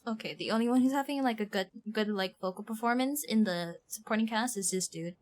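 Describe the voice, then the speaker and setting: A woman streaming a game, giving casual live reaction commentary in an animated, exaggerating manner. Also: fairly high pitch, brisk. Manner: animated, mimics lines with exaggeration.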